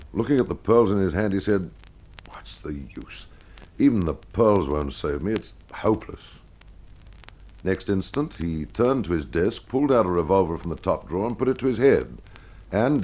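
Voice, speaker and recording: A man narrating a scripted old-time radio detective story as its host, in a measured, dramatic storytelling manner. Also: very deep voice, darkish tone.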